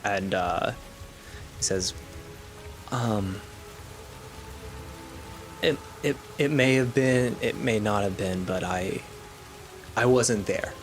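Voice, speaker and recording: A tabletop role-player improvising casually, narrating and speaking in character in a halting, hesitant way. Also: low voice, faint music behind. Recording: rain ambience over tabletop game recording.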